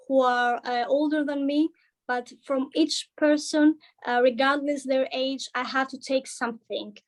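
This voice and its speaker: Businesswoman guest, high-pitched, halting and filler-heavy delivery. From Greece. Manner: hesitant, many fillers and restarts. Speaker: entrepreneur guest. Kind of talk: online panel introduction.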